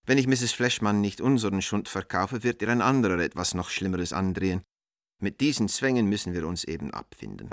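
A man's voice: low voice, no echo, clean studio sound. An actor playing a witty, self-mocking art dealer in his forties, reading his letters eloquently in a radio drama. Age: 40s.

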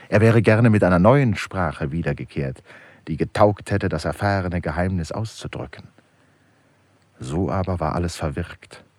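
A male radio play narrator with a very deep, husky voice, recorded close and dry. He reads literary prose gravely and with measured drama, letting the intensity swell and sink. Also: a little mellow, no echo.

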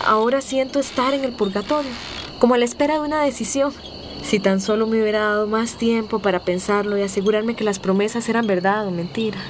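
A woman's voice: fairly high pitch, close to an everyday voice. A woman playing an earnest, troubled young migrant woman in a radio soap opera. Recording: noisy, insects and chimes behind.

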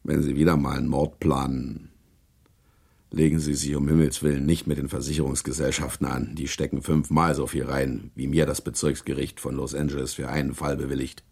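A man acting a shrewd, hard-boiled prosecutor who interrogates with sardonic pressure in a German radio drama, gravelly in voice. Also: a bass voice, level tone, fairly quick, darkish tone, full character voice. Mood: quiet, knowing.

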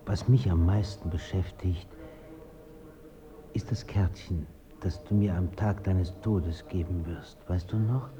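Low-voiced, earnest, troubled visitor. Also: male voice, highs cut off. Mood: hushed, troubled. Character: earnest, troubled man visiting his dead friend for answers. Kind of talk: German radio play dialogue.

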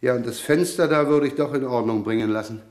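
An actor playing a self-assured, talkative visitor with teasing, ironically courteous manners in a radio crime comedy. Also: male voice, medium pitch, fast talker, a little mellow, natural voice. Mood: cheeky, self-assured. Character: smooth, talkative, cheeky male visitor, self-assured and slyly polite.

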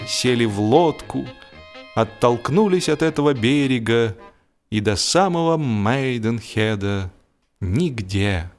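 A man narrating a humorous literary story in a theatrical, expressive manner, with emphatic swells and deliberate pauses. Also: mid-range voice. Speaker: audiobook narrator. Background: music.

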